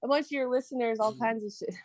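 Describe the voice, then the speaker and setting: A woman's voice: fairly high pitch, fairly even intonation, brisk, crowd noise. A woman in her thirties, a podcast guest chatting casually and laughing often as she tells stories. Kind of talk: casual chat.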